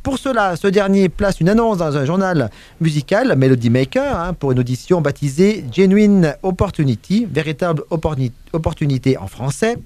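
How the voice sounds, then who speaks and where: A man's voice: a little high, lively intonation, moderate pace, few pauses, a little mellow, no room sound. A man presenting a music radio segment in French, chatting casually with many fillers, restarts and asides to his co-host.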